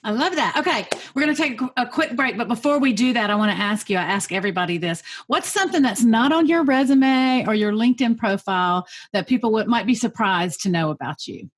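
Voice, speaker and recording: A woman's voice: fairly high pitch, lively intonation, fast talker, few pauses. A woman hosts a podcast in a casual, upbeat way. She encourages her guest and keeps the talk moving. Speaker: podcast host. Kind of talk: casual interview hosting.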